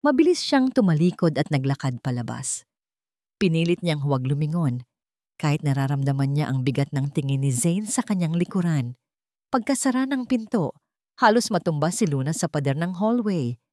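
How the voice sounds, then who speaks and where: A woman's voice: deep voice, animated, moderate pace, dark tone, dry recording, studio quality. A woman narrating a scripted story in a dramatic style.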